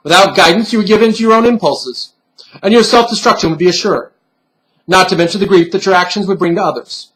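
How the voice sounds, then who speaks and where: A man's voice: high voice, fast, a bit bright, poor audio. A man voicing a stern, patronizing bunny pin who lectures in formal phrases in a storybook reading. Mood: stern lecturing.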